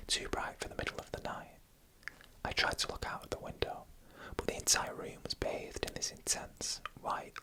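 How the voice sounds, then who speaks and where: A narrator telling scary stories in a slow, hushed, breathy ASMR whisper, close to the microphone. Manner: slow, hushed, deliberate storytelling. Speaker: host and narrator.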